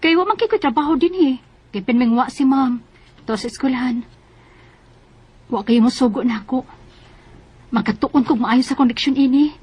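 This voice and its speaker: Earnest teenage student, high husky voice. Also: female voice, normal speed, long pauses, a little mellow. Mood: grateful. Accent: Cebuano Filipino. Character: earnest, eager nineteen-year-old working student girl. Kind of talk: Filipino radio drama acting and narration.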